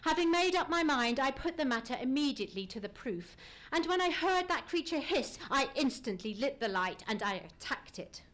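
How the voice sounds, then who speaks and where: A woman's voice: high voice, sing-song, moderate pace, fairly bright tone. A woman acting a self-assured detective in a live old-time radio play, speaking in a precise, formal, theatrical way. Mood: dramatic, self-satisfied.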